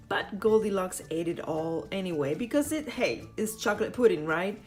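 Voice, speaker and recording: A woman's voice: a little high, darkish tone. Playful woman reading a picture book aloud. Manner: playful, teasing asides. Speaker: storyteller.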